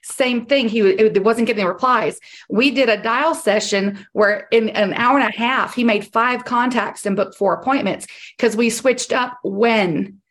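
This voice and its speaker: A woman's voice: on the high side, unhurried, hardly any pauses, fairly bright tone. Emphatic woman coaching, voice worn. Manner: direct, emphatic, repeats key points. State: losing her voice. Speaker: sales coach. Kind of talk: coaching on a group call.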